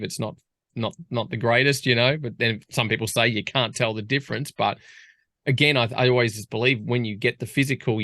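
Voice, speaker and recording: A man's voice: mid-range voice, bright, forceful tone, no echo, clean studio sound. Australian male radio host, chatty. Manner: rambling, chatty questions. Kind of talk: casual interview questions.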